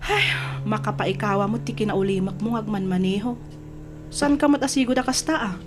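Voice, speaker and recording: A woman's voice: mid-range voice, moderate pace, lightly acted. A woman with an Ilocano Filipino accent acting a concerned, insistent best friend in an old-time radio drama, probing and emphatic. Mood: concerned, prodding.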